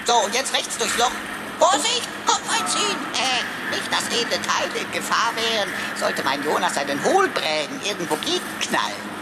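A woman's voice: fairly high pitch, sing-song, normal speed, very noisy. A woman playing a cheeky, bossy talking pocket computer with mocking mock-naval bluster in a sci-fi radio drama. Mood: mocking, impatient. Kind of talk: German sci-fi radio crime drama acting.

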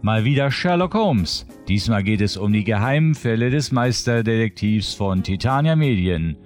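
A man's voice: on the low side, expressive, normal speed, a little mellow, faint music behind. A man presenting a roundup of new releases in scripted announcement narration.

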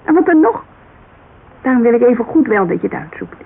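A woman's voice: on the high side, big pitch swings, a little fast, full character voice. An actress playing a headstrong, insistent businesswoman in a conversational Dutch radio drama, blunt and exasperated. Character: headstrong, blunt businesswoman client pressing a detective. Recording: narrow, noisy, creaky-sounding old radio broadcast.

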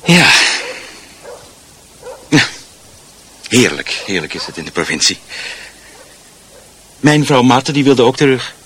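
A man's voice: medium pitch, big pitch swings, takes it slowly, long pauses, fairly bright tone, breathy edge, exaggerated voice. An actor playing a chatty, fussy middle-aged husband in a radio drama, proud of his home and often stammering and repeating himself. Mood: proud, talkative. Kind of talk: Dutch radio drama acting.

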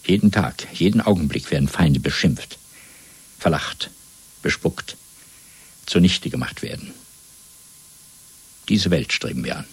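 An actor playing a coldly domineering party interrogator in a German radio play, lecturing in a measured, zealous way with a gravelly, husky voice. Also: male voice, deep voice, lively intonation, full character voice. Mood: menacing, exalted. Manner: measured, lecturing, swelling from hushed to fervent.

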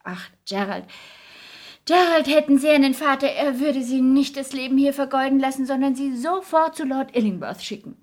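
An actress as a high-voiced, dithering older society lady. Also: normal speed, a little mellow, lightly acted. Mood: gushing, fussy. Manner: affected, dithering, gushing. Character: chatty, scatterbrained older society lady, gushing and well-meaning. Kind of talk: 1960s German radio comedy-of-manners acting.

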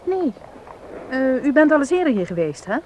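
An actress playing a brisk, guarded young daughter in a radio drama. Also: female voice, sits high, big pitch swings, darkish tone. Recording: noisy old radio play recording.

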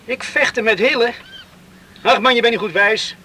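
A man's voice: very high-pitched, moderate pace, pushed and bright, slight background noise, low-quality recording. An actor playing a stubborn, defiant young man in a radio play, blunt and dismissive in manner. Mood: defiant, irritated. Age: young adult.

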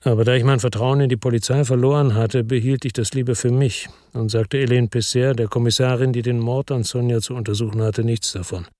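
A man's voice: mid-range voice, fairly even intonation, a little fast, few pauses, dark tone, dry recording, studio quality, natural voice. An actor playing a middle-aged, weary and bitter ex-policeman, narrating tersely in a radio drama. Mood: sombre. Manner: terse, sombre, matter-of-fact. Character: weary, bitter male ex-policeman narrating his own crime story.

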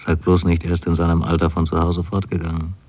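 Young wayward son, radio play monologue, deep mellow voice, husky, measured, literary. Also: male voice, monotone. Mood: yearning, insistent. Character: wayward twenty-year-old son writing to his mother.

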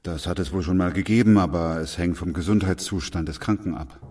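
A man acting a sober, measured doctor and narrator in a literary radio drama, calm, terse and matter-of-fact. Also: low-pitched. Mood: sober, restrained. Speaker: narrator and lead actor. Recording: music behind the voice.

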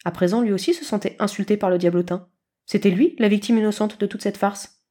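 Female podfic narrator, medium-pitched voice, expressive scripted storytelling. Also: brisk.

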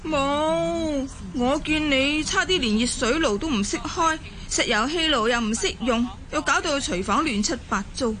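A woman's voice: high-pitched, normal speed, hardly any pauses, fairly bright tone, poor audio. A woman acting a nagging, scornful Hong Kong housewife in a Cantonese radio drama, scolding and sarcastic. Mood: sneering. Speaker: voice actor in an audio drama.

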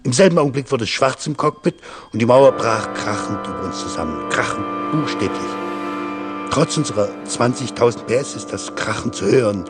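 A man's voice: mid-range voice, expressive, fairly quick, over music. A man giving a dramatic reading of literary prose as a narrator. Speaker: reader of literary texts.

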